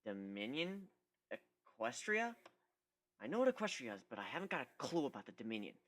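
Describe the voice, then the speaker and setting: Young male griffin, acted by a man: excitable wisecracker, bright, animated and theatrical, for a story reading. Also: medium pitch, brisk, voice pushed down. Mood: feigned confusion. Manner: sarcastic, theatrical.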